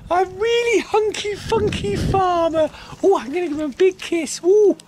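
A middle-aged man voicing a flirty, daft woman supermodel from Sweden, with a fake Swedish accent drifting Irish and a camp, breathy manner. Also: normal speed, background noise. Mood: gushing. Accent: wobbly fake Swedish drifting Irish. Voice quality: high falsetto, camp, breathy ooh-ing. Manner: flirty, rambling, self-mocking. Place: dark barn.

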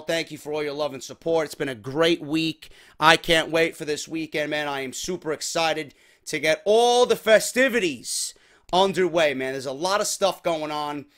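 Chatty young man, slightly worn voice. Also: on the high side. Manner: energetic, chatty, peppers speech with "man". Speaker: podcast host. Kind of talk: casual wrestling talk. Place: small home room. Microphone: desk mic on boom arm.

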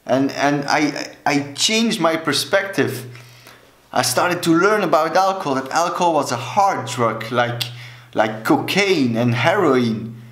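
A man in his thirties from Belgium, a native Dutch speaker with a Belgian Dutch accent, talking earnestly and deliberately to camera as an alcohol coach, gesturing with both hands. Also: a little high, lively intonation, fairly bright tone. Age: 30s. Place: small room indoors, plain wall. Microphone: camera mic, head and shoulders. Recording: echoey room.

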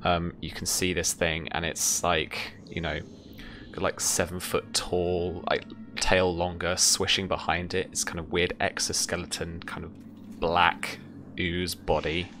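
Very deep, creaky-voiced young man. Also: little pitch movement, measured pace, noisy background. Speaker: game master. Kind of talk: improvised tabletop game narration and casual rules talk. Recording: music behind the voice.

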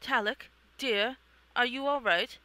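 A performer voicing a calm, playful woman who wanders dreams in a comic read-along. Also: mid-range voice, slow, no echo. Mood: friendly.

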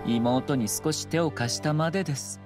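A man voices an elegant young nobleman of the sword in an anime game. The voice is fairly high, formal and unruffled, with polite condescension, and very loud music plays behind it. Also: fast talker, lightly acted. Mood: cool, condescending. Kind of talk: Japanese anime game trailer acting. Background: very loud trailer music.

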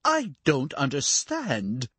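A woman voicing a haughty, patronizing nobleman who speaks in a pompous, drawn-out way. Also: low-pitched, huge pitch swings, fairly bright tone, husky, no echo, voice pushed down, heavily acted. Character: haughty young Spanish duke, patronizing, stiff.

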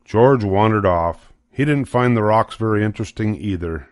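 A man's voice: fairly low pitch, normal speed, no room sound, clean studio sound. An older man narrating a children's storybook as its reader.

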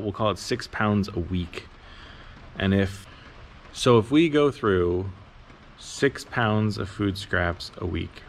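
Chill guy in his 30s, low-ish voice, lots of ums, filming himself close up in a big barn. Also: slight background noise. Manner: relaxed, rambling, many "um"s. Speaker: worm farmer. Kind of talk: casual how-to vlog. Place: large insulated barn or workshop, indoors. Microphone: camera held low, close.

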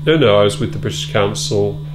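A middle-aged man being interviewed in a wood-panelled room, answering in a measured, thoughtful way with many ums and repeated words. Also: fairly low pitch, loud music behind the voice, low-quality recording. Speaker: interviewee, former British Council officer. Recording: loud hum behind.

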